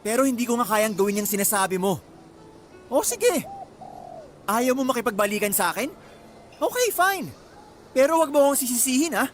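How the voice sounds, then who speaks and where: A man's voice: very high-pitched, big pitch swings, moderate pace, pauses often, faint music behind. A man playing a jealous, possessive young ex-boyfriend in a radio drama, insistent and pressuring. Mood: menacing. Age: young adult. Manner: pleading, insistent. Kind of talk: Tagalog radio drama acting.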